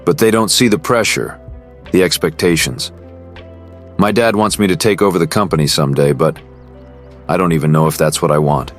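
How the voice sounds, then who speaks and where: Man playing a deep-voiced, earnest rich boy. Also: brisk, pauses often, close to an everyday voice. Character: earnest, privileged teenage boy, secretly burdened. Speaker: character and first-person narrator. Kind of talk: amateur voiced teen romance drama. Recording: music behind the voice.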